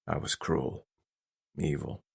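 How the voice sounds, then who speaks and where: A man voicing a stern, intimidating middle-aged father in an audiobook, speaking in a grave, restrained, deliberate way with a gruff love underneath. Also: fairly even intonation, heavily acted. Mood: grim confession. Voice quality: deep, low, weighty voice.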